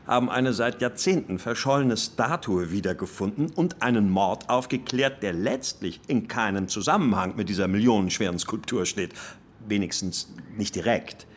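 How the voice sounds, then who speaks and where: Casting: male actor as a senior police colonel, lowish voice, measured and courteous, radio drama with faint ambience behind. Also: a little hiss or hum, lightly acted. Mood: matter-of-fact. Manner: measured, formal. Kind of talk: German radio drama acting.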